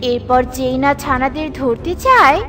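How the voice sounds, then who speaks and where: A woman's voice: high voice, expressive, normal speed, loud music behind the voice. A woman narrating a scripted children's cartoon story.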